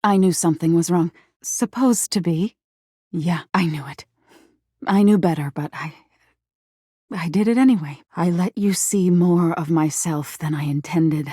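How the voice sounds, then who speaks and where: Female nightmare spirit, video game, lowish and husky, breathy, flirtatious menace, hidden insecurity. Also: unhurried, mellow, dry recording, studio quality. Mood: hurt, resigned. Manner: breathy, teasing, often dropping into whispers. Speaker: voice actor in a dating simulator game. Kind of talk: intimate theatrical video game acting.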